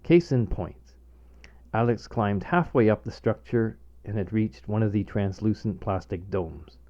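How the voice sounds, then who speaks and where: A man's voice: lowish voice, unhurried, mellow. A middle-aged man reading his own scripted humorous short story aloud as narrator. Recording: dull, lo-fi home recording.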